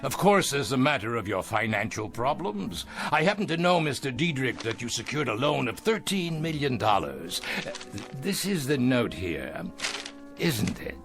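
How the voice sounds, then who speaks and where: An actor playing a ruthless self-made business tycoon in an audio drama, drawing out phrases with mocking relish. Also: male voice, mid-range voice, sing-song, normal speed, music in the background. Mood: gloating, menacing.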